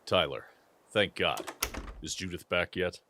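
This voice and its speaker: A man's voice: low voice, lively intonation, measured pace, noisy background. A man acting a cautious, serious leader of werewolf scouts in an audio drama. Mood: worried, urgent.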